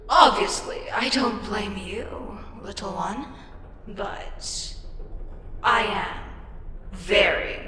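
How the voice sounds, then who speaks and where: A woman playing a weary, irritable ancient eldritch deity in a fantasy ASMR audio roleplay, sardonic and theatrical, with deliberate pauses. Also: medium pitch, slight background noise. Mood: frustrated, venting. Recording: echoing long reverb with loud music. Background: loud music.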